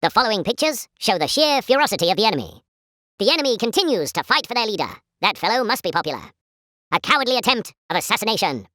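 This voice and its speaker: A woman's voice: medium pitch, sing-song, fast talker, a bit bright, dry recording, studio quality. A woman playing a pompous, chipper, patriotic British newsreel announcer in 1940s style, speaking formal theatrical commentary for a game. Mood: solemn, indignant.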